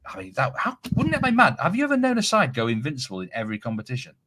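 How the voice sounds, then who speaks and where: A man's voice: medium pitch, huge pitch swings, racing, sharp tone. A man hosting a live betting show, chatting casually with many restarts. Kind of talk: casual live studio chat.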